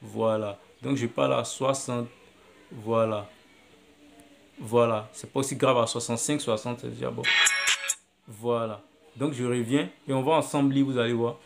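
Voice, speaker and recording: A man's voice: medium pitch, fairly even intonation, moderate pace, gravelly, pauses often, over loud music. A man teaching video editing on a phone app, talking step by step and repeating his actions aloud as he works. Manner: step-by-step, repetitive, thinking aloud. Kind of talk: screen-recorded app tutorial.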